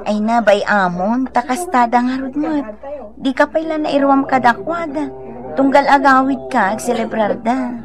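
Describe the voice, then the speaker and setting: A woman's voice: sits high, moderate pace, music in the background, lightly acted. A woman with a Filipino accent playing a young, gossipy but practical sweetheart in a radio drama. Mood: soothing, practical.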